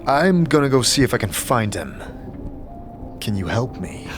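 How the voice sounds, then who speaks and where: A man acting as an uneasy fantasy wanderer, polite and wry. He stammers and hesitates in a mid-range voice with very lively intonation, set over quiet music in an audio drama. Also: mid-range voice, unhurried. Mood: earnest, searching. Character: nameless male wanderer, hesitant, polite and wryly squeamish.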